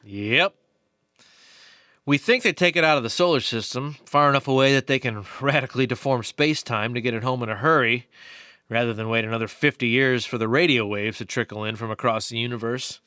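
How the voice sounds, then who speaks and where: Man acting earnest, bright-voiced nerdy investigator. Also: medium pitch, clear, resonant tone, no echo, studio quality, natural voice. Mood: relaxed, friendly. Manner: earnest, halting, stammering explanations. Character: excited nerdy male investigator explaining alien theories. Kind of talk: radio play dialogue.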